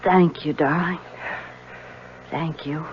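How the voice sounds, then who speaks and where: An actress playing a frail, anxious young bedridden wife in a 1970s radio drama, plaintive and pleading. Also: female voice, low-pitched, steady tone, takes it slowly. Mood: weak, grateful. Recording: dull, noisy old radio recording.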